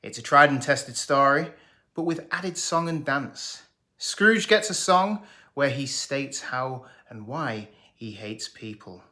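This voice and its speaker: A man's voice: medium pitch, animated, slow, bright, forceful tone. Upbeat man reviewing films. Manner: jokey, sarcastic, enthusiastic. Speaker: movie reviewer. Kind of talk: scripted video review.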